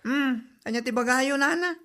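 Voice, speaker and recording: A woman's voice: sits high, normal speed, pushed and bright. A woman playing a sassy, gossipy village friend with an Ilocano accent in a comedy drama podcast.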